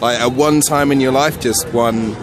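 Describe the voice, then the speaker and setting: A man's voice: medium pitch, steady tone, moderate pace, a crowd in the background. A man interviewing people on the street in a casual, agreeable way, often answering with short affirmations. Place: busy street outdoors. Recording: outdoor street with music.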